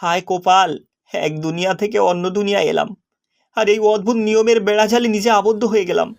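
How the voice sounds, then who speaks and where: A man plays a discontented young fisherman in a cartoon fable. His voice sits high for a male. He sounds naive and earnest, with a plaintive way of talking. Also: steady tone, quick pace, creaky. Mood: bewildered. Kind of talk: animated story character acting.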